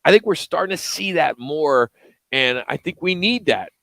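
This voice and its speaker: A man's voice: on the high side, lively intonation, normal speed, creaky, a bit bright, dry recording. Opinionated middle-aged sports radio man. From Philadelphia and South Jersey area, USA. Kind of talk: casual sports talk. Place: live remote radio broadcast at a car dealership.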